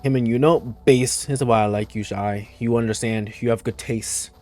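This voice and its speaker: A male streamer talks in a mid-pitched voice through a live playthrough. He is playful, slangy and rambling, with game music faintly underneath. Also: steady tone, moderate pace, darkish tone. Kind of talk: casual live playthrough commentary.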